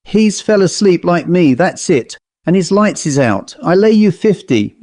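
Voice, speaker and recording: A man reading aloud puts on an old male servant, superstitious and jumpy, with thick country dialect and a raised, anxious pitch. It is a dry, close recording with no room sound. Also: big pitch swings, a little slow, darkish tone, dry recording. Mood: nervous. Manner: broad country dialect speech. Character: old rustic male butler, fearful and superstitious.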